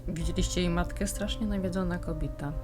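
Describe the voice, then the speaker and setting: A woman's voice: lowish voice, quick pace, music in the background. A Polish woman giving casual commentary while playing a video game. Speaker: game let's play commentator.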